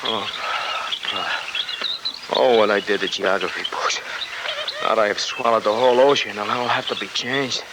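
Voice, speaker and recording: An actor playing a wisecracking, anxious working-class American sidekick in a 1940s radio adventure, slangy and exclamatory. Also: male voice, lowish voice, fairly even intonation, normal speed, natural voice. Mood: wry, griping. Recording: very noisy old 1940s radio recording.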